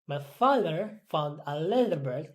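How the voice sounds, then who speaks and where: Filipina student reading words back, kinda low voice, slow and careful, a bit halting. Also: female voice, lively intonation. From Philippines. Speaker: English pronunciation student. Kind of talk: repeating drill words and reading practice sentences.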